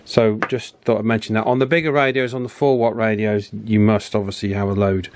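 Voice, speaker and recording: A man's voice: on the low side, level tone, a little fast, no echo. A man giving casual, unscripted commentary as he works on an electronics repair, chatty and fond of tag questions. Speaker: host of an electronics repair channel. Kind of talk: casual hands-on repair commentary, talking while working.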